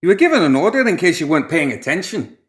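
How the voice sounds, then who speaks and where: A man voicing an exasperated, excitable mad doctor in an audiobook, snappish, fussy and defensive. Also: a little high, fast, slightly rough, voice pushed up. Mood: bossy impatience. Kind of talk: audiobook character voice.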